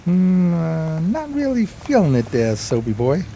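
An actor playing a streetwise, homeless New buddy, narrating a radio drama in folksy, admiring slang. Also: male voice, on the high side, wildly swooping pitch, a little slow, gravelly, mellow, a little hiss or hum, full character voice. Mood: curious, teasing.

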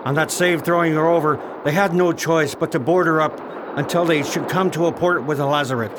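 A man's voice: a little high, steady tone, a little fast, background noise, natural voice. An actor playing a stern pirate crewman who speaks for the crew in formal, declamatory archaic talk, in a radio drama. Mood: grim.

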